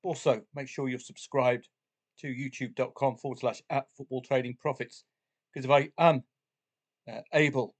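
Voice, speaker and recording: A middle-aged man who has the flu talks through his betting results in a relaxed, casual way. His voice is deep and husky from the illness. He fills pauses with "uh" and drops in jokey asides. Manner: chatty, jokey asides, frequent "uh" fillers. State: has the flu, chesty cough, voice deeper than usual. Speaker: betting channel host. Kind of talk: casual talk to camera about betting.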